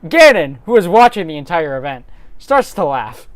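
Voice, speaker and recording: A man's voice: on the high side, big pitch swings, normal speed, no room sound. A man narrating a dramatic reading of a script as storyteller.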